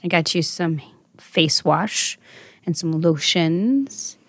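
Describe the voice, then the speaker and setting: A woman's voice: lowish voice, lively intonation, takes it slowly, slightly rough, no echo. A woman in her thirties hosting a casual chat with her daughter, affectionate and motherly, laughing often. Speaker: podcast host and mother.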